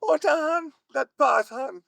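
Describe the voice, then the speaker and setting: Gravelly, very high-pitched Jamaican gang boss played by a man. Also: unhurried, fairly bright tone, dry recording, heavily acted. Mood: angry, scolding. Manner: boastful, scolding, commanding. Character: domineering, hot-tempered gang boss.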